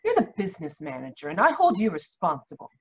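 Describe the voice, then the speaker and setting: A woman playing a haughty, bitter, sharp-tongued middle-aged aunt in 1940s radio drama style, domineering and husky. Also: on the low side, wildly swooping pitch, fast, lo-fi sound, full character voice. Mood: scornful anger. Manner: domineering, scornful.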